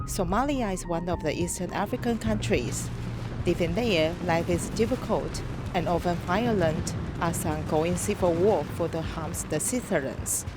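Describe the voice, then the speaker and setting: Woman narrator, lowish voice, measured formal read. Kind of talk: scripted documentary narration.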